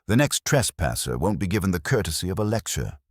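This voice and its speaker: A man's voice: deep voice, sing-song, a little fast, no room sound, studio quality, voice pushed up. A man voicing a stern, pedantic, imperious detective with formal, precise phrasing, in an audiobook.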